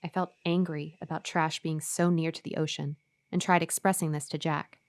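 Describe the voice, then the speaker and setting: An actress playing an uneasy, obedient woman who tells her eerie story in a measured way in a radio horror play. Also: sits low, flat delivery, no room sound. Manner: measured, first-person storytelling.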